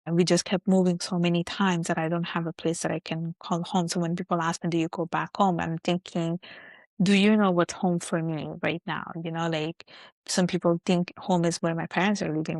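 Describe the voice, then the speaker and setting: A young woman with an Italian accent talks things through in an even, fairly low voice. She leans on fillers like "like" and "you know" as she reflects. The sound is close and dry. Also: fairly quick, creaky, no echo. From Italy. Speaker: guest. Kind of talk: casual interview chat.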